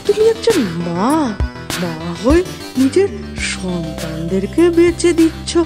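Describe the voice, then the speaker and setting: Woman voicing a slow-talking sly, coaxing fox. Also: sits high, huge pitch swings, music in the background, heavily acted. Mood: reproachful. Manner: coaxing, wheedling, mock-indignant. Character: sly flattering male fox, falsely friendly. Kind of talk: animal fable storytelling.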